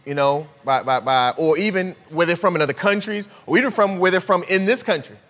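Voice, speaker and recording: A man's voice: high-pitched, a little fast, a bit bright. Preaching man in a reverberant hall. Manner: conversational preaching with rhetorical questions. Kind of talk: sermon.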